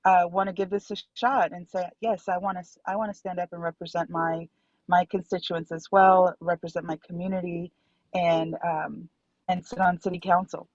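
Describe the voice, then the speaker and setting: Woman, low voice, earnest, filler-heavy, muffled dry call audio. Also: level tone, normal speed, darkish tone, dry recording. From Dallas, Texas. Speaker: city council candidate. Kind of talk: remote guest interview. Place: in her car.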